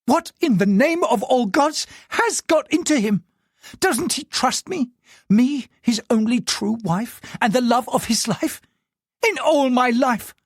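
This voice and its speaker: An audiobook narrator voicing a shrill, self-pitying wealthy wife who shrieks and complains. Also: dry recording, clean studio sound. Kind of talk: audiobook character voice.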